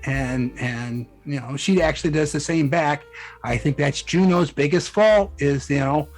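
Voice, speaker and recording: A man being interviewed, chatting casually as a guest and explaining things in a halting, self-interrupting way. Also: a little high. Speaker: interview guest, fan fiction author and musician. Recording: music playing behind the voice. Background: music.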